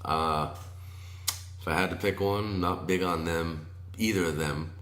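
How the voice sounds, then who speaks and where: Guest: easygoing man with a very low, deep voice, confident chat, echoey room. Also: steady tone, a little slow, a bit bright. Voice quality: naturally deep voice. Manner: laid-back, confident, says "you know" often. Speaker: wedding DJ and MC guest. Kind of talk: casual chat. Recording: roomy echo.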